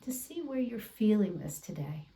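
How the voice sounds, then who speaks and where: A woman instructor leading a guided meditation in a gentle, deliberate, encouraging way over an online video class. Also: medium pitch, sing-song. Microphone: away from the mouth, video call.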